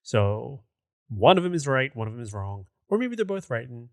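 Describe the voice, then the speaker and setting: A man's voice: medium pitch, big pitch swings, moderate pace, vocal fry, no room sound, clean studio sound. A man co-hosting a gaming podcast, chatting casually in a conversational, rambling way. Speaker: podcast co-host.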